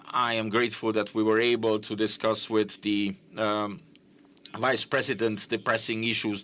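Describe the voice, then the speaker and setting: A man giving simultaneous interpretation at a press conference, halting, with frequent fillers and self-corrections. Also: fairly low pitch, a bit bright.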